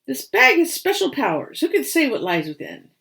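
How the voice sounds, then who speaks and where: Lady as a cheeky lil badger kid from a storybook, high pitched, showing off like a magician. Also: wildly swooping pitch, normal speed, gravelly, fairly bright tone, exaggerated voice. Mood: proud. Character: cheeky, loyal young badger stage magician's helper.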